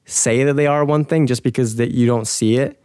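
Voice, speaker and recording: A man's voice: medium pitch, steady tone, fairly quick, darkish tone, clean tone, no room sound, studio quality. A young American man in casual conversation, talking thoughtfully and hesitantly with many fillers and restarts. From United States. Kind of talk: casual chat.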